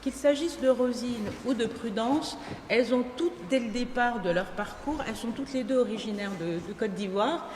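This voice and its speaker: A woman moderating an event and interviewing guests, guiding the conversation and rephrasing her questions as she goes. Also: on the high side. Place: large event hall. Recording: echoey hall, faint room noise.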